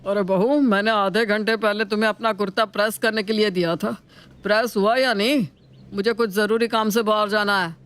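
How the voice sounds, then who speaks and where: A man voicing a thrifty, easily exasperated older father-in-law in an animated moral story, with a scolding, exclamatory manner. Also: sits high, moderate pace, clean tone. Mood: bossy.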